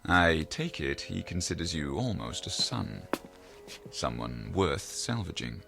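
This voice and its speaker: An actor playing a learned, probing psychiatrist in an audio drama, speaking in a deliberate, formal, professorial way. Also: male voice, deep voice, sing-song, gravelly, music in the background, exaggerated voice. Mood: probing, reasoning.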